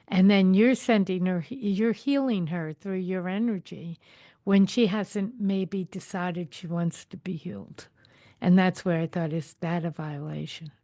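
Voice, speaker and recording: A woman's voice: medium pitch, dark tone, no room sound, studio quality. A woman hosting an interview in a casual, conversational style. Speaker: host, interviewer.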